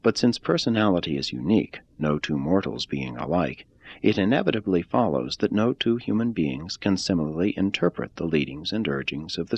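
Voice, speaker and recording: A man reading a religious text aloud in a measured, deliberate way. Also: deep voice, darkish tone, slightly rough, no echo, lo-fi sound. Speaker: reader.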